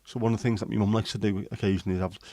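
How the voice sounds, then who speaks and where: A man's voice: fairly low pitch, level tone, rapid-fire, dark tone, dry recording. A man from England, chatting casually on a livestream and answering comments, rambling with many ums and restarts. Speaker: livestream host. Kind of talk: casual chat, reading and answering live comments.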